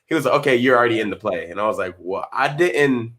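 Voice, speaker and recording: A man, chatting as an interview guest in a relaxed way with many fillers and restarts. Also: medium pitch, fast talker. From North Carolina. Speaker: guest actor. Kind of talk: casual interview.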